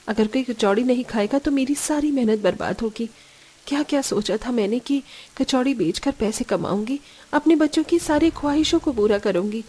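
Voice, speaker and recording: Poor young widowed mother for cartoon tale, played by a woman: fairly high, earnest, caring, expressive. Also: breathy edge. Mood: dejected. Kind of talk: cartoon story dialogue.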